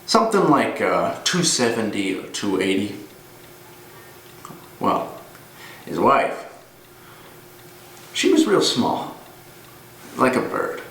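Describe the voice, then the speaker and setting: A man performing a dramatic monologue as a gruff, weary older ex-cop, grimly menacing, telling his tale deliberately with pauses. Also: fairly low pitch, big pitch swings, a bit bright, full character voice. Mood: grim reminiscing. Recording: roomy, distant mic with noise.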